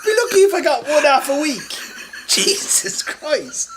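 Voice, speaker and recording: A man's voice: very high-pitched, sing-song, unhurried, noisy background. An Irish man co-hosting a comedy podcast, bantering casually in an exasperated, sweary grumble. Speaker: podcast co-host.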